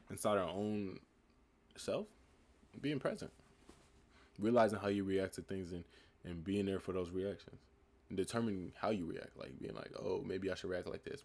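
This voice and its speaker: A young man from the United States with a calm, laid-back voice, reading live chat questions aloud and answering them in a relaxed, reflective way. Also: lowish voice, creaky. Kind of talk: casual live stream chat.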